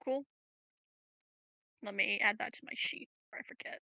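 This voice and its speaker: A woman's voice: mid-range voice, steady tone, moderate pace, gravelly, sharp tone, no room sound, lo-fi sound. A woman chatting casually and jokingly as a player in a tabletop role-playing game. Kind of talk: casual chat.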